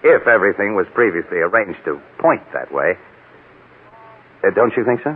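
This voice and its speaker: An American actor playing a shrewd, confident news photographer detective, brisk and wry, in old radio crime drama style on an old recording. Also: male voice, fairly low pitch, big pitch swings, husky, phone-quality sound. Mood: knowing, probing.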